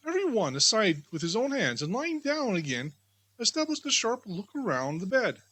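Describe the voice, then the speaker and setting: A woman storybook reader giving scripted, expressive audiobook narration of a classic tale. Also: on the low side, slightly rough, poor audio.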